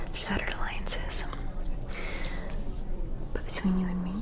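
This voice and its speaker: Seductive aristocratic vampire lady played by a woman, whispered ASMR roleplay, slow, teasing, manipulative, breathy. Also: mid-range voice, natural voice. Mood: sly, teasing. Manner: breathy, teasing purr with sighs and drawn-out pauses.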